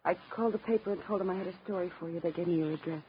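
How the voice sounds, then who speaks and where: A woman's voice: fairly low pitch, rapid-fire, close to an everyday voice. An actress playing a frightened, shaken young woman on the run in a 1950s radio drama. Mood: anxious. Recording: muffled, noisy 1950s radio recording.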